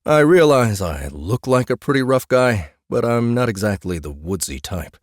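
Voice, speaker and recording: A man's voice: medium pitch, very animated, moderate pace, no echo, studio quality, voice pushed up, close to an everyday voice. A man voicing a blunt, exasperated family man and orchard farmer in a fantasy audiobook, hesitant and irritated. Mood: annoyed, blunt.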